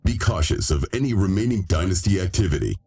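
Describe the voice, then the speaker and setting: A man's voice: low voice, very animated, quick pace, gravelly, darkish tone, faint music behind, full character voice. An actor playing a male military dispatcher, giving clipped orders in a video game cutscene. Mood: commanding.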